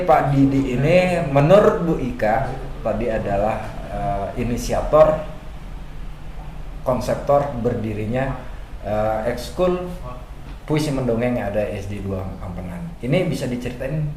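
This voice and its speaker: A man's voice: fairly low pitch, darkish tone. A man hosting a casual radio interview in an informal, chatty, colloquial way. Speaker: radio host. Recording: echoey room with faint background noise.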